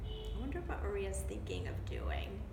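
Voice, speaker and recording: A woman reading a children's storybook aloud in an animated, dramatic way, pausing to put questions to her young listeners. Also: mid-range voice, creaky. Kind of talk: expressive children's storybook read-aloud with asides. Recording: roomy echo, background noise.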